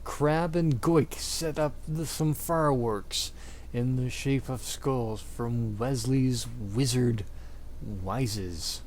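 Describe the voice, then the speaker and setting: A man's voice: medium pitch, takes it slowly, darkish tone, slight background noise. A man giving a mock-dramatic amateur reading of fiction, with a theatrical, exaggerated delivery. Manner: theatrical, exaggerated delivery, often breaking into giggles. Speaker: amateur reader of fan fiction.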